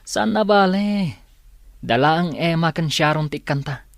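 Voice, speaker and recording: A man's voice: a little high, animated, unhurried, vocal fry, no room sound, studio quality. A man playing a grumbling small-time crook eager for easy money in an old radio drama. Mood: restless, grumbling.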